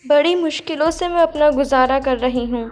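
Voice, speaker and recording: Woman acting a plaintive, high-voiced poor widowed mother. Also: moderate pace, soft background music, natural voice. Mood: sorrowful relief. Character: poor widowed village mother-in-law, long-suffering and loving. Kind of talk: dramatized Hindi moral cartoon story.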